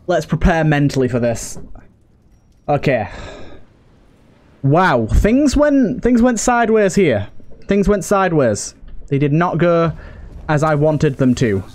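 A man's voice: a little high, a little mellow. A man giving casual let's play commentary in an anxious, exasperated way, often repeating himself. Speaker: gaming YouTuber and host. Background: game music.

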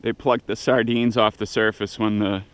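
A man in his thirties giving quiet, low-key running commentary while fishing from a kayak on open sea, recorded on an action camera. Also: little pitch movement, normal speed, smooth. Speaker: fishing vlogger. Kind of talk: casual first-person narration while fishing. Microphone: action camera mounted on the kayak.

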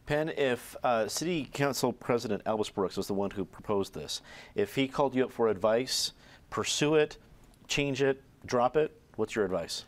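A middle-aged male host steering a TV panel with brisk questions. His pitch moves a lot, rising and falling as he talks. He is recorded on a lapel mic in a quiet studio. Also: animated. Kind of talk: moderating a televised panel. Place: television studio.

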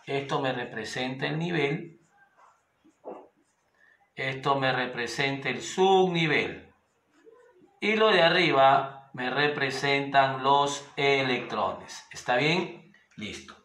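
A man's voice: medium pitch, fairly bright tone, a little hiss or hum. A man, teaching a chemistry lesson at a whiteboard and often voicing his students' questions himself. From Peru. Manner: addresses students directly and voices their questions; slows to a sing-song when dictating orbitals. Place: small room at a whiteboard. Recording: echoey room.

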